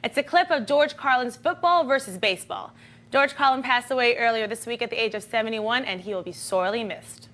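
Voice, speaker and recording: Bright, high-pitched sarcastic woman news host. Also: brisk. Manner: sarcastic, brash, slangy. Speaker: host of an online video news show. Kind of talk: scripted narration of snarky entertainment and sports news.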